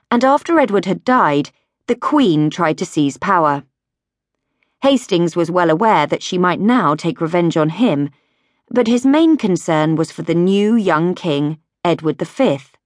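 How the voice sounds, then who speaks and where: A woman reading a history audiobook as a scripted narration, in a measured, clearly phrased storytelling manner. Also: on the low side, dry recording, studio quality. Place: recording studio.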